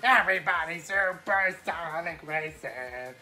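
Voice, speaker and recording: A woman giving excited live reaction commentary, repeating lines back and singing along. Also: lowish voice, measured pace. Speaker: reaction video host. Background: cartoon comic dub audio with music.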